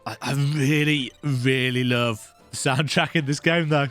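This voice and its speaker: A man's voice: medium pitch, fairly even intonation, unhurried, fairly bright tone, soft background music. A man hosting a let's play, giving casual, rambling, conversational commentary on a video game.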